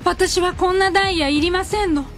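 Woman acting a high-pitched, lovestruck young lady. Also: steady tone, fairly quick, fairly bright tone, soft background music. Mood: dreamy, haughty. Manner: affected, refined ladylike speech. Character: lovestruck, genteel rich young lady heroine. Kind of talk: comic radio drama.

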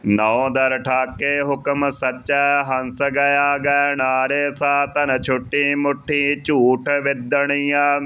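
A man reciting Sikh scripture in a measured, even, chant-like reading. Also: mid-range voice, clear, resonant tone. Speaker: scripture reader.

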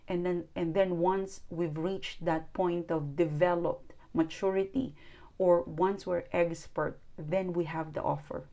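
A woman's voice: fairly low pitch, gravelly, a little mellow. A woman whose first language is not English, giving an earnest tarot reading with lots of repeating and restarting, recorded indoors at a table. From outside New Zealand. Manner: earnest, repetitive, coaching tone with restarts. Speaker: tarot reader.

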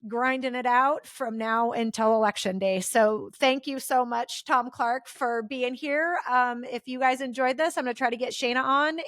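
American lady podcast host, kinda high voice, chatty n earnest, super informal, dry close sound. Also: a bit bright, dry recording. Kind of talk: casual chatty interview.

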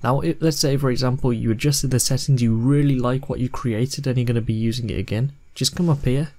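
A man's voice: medium pitch, steady tone, brisk, darkish tone. Young man giving step-by-step instructions. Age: 20s. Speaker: host of video editing tutorials. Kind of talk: scripted software tutorial narration.

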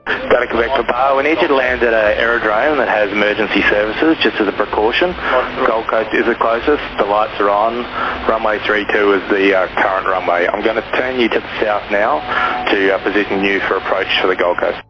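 A man working as an air traffic controller, giving measured, procedural instructions over aviation radio. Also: on the low side. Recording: muffled lo-fi aviation radio, music behind.

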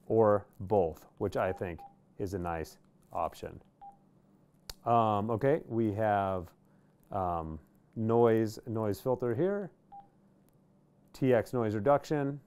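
An American man, around his thirties, giving a casual product demonstration in an informal, halting way with pauses. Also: lowish voice, a little mellow, clear, resonant tone. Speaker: product review presenter. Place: small indoor workshop studio.

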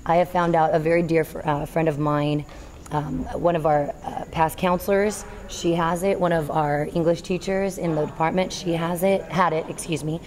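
A woman in her thirties, a girls volleyball coach, giving an earnest postgame interview in a high school gym, working through her thoughts with small restarts. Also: steady tone, moderate pace, darkish tone. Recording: noisy gym ambience.

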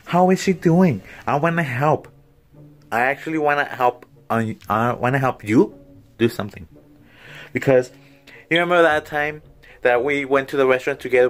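A man's voice: mid-range voice, close to an everyday voice. A man playing a catty rival woman. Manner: snide and gossipy. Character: smug, catty woman rival, fake-friendly. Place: small cluttered bedroom, indoors. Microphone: phone camera at arm's length.

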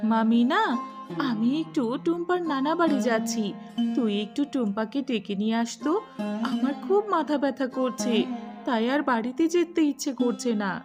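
A woman's voice: high-pitched, lively intonation, normal speed, over music. A woman voicing a greedy, suspicious tigress aunt in a children's cartoon, with a scheming, wheedling manner. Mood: wheedling, sly. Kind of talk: children's animated fable dialogue.

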